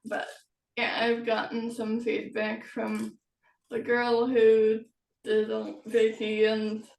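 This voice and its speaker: Woman author chatting, higher voice, stops and starts, kinda far from the mic. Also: completely flat delivery, slow pace, creaky, a little mellow. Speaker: host, author of historical novels. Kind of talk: casual chat about writing. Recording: roomy echo, distant from the mic.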